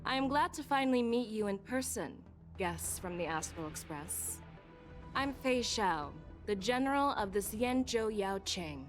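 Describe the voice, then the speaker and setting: A woman's voice: mid-range voice, expressive, measured pace, lightly acted. A woman voicing a confident, shrewd game general, speaking formally and assuredly with blunt, probing directness. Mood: assured, courteous. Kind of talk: video game voice acting. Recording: game music behind the voice.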